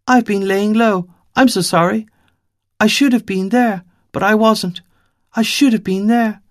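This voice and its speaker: A man's voice: high voice, fairly even intonation, unhurried, dry recording, clean studio sound, voice pushed up. A man voicing a small girl of about ten who speaks earnestly and insistently, in a storybook reading. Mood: apologetic.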